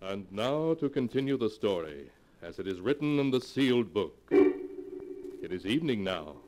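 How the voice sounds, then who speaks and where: A man's voice: fairly low pitch, big pitch swings, moderate pace, a little mellow. A man acting as an ominous storyteller, narrating 1940s radio horror in a dramatic, portentous manner. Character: ominous storyteller reading from a sealed book. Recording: noisy 1940s radio recording.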